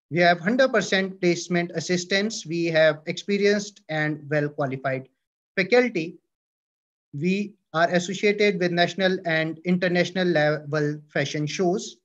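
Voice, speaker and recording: A man's voice: fairly high pitch, fairly bright tone. A man with an Indian English accent presents a university department from slides, with fillers and self-corrections. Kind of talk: presenting slides in an online orientation. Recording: dull lo-fi audio.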